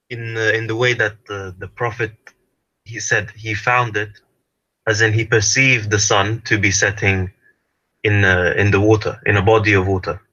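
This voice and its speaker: A man's voice: fairly low pitch, bright, forceful tone. Hesitant male caller on a muffled line. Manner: hesitant, many fillers and restarts.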